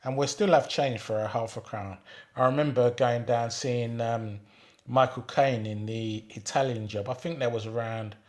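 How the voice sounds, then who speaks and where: An older man in his sixties, telling his life story at an easy pace. He hesitates often, filling pauses with ums and going back to rephrase. His voice sits in an ordinary middle register for a man. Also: medium pitch, steady tone. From England. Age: 60s. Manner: unhurried, hesitant with frequent ums and restarts. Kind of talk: reminiscing monologue reading out questions.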